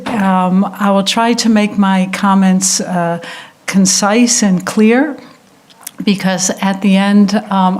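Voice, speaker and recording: A woman with an American accent giving a public lecture, speaking in a measured way with frequent ums and uhs. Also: mid-range voice, darkish tone. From United States.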